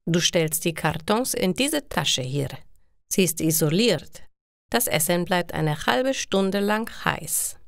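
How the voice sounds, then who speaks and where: Helpful male pizza courier for an audio storybook played by a female narrator, patient, encouraging, very measured pace. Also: on the low side, lively intonation, gravelly, no room sound, studio quality, exaggerated voice. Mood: helpful, instructive. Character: friendly, helpful young man, pizza delivery worker.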